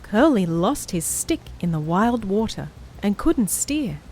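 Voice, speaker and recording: A woman reading a picture book aloud to young children as a story-time host, in a measured, expressive storytelling manner. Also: mid-range voice, a little hiss or hum, rough recording. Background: music behind the voice.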